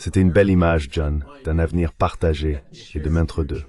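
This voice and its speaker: A man's voice: extremely low pitch, fairly even intonation, normal speed, warm tone. A man delivering an explanatory voice-over translation for an interview guest. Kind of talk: dubbed interview commentary, explanatory.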